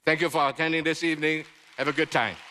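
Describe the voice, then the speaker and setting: A man in his sixties with a Cantonese accent, a native Cantonese speaker, giving a formal keynote speech in an emphatic, deliberate way with stressed pauses. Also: a little high, fairly even intonation, pushed and bright, a little hiss or hum. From southern China or Hong Kong. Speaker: conference speaker, education advocate. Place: large indoor conference hall, at a podium. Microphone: gooseneck podium microphone. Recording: reverberant large hall through PA.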